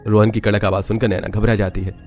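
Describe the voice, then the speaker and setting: A man's voice: lowish voice, flat delivery, fast talker, darkish tone, soft background music. A man narrating a scripted family story as its storyteller.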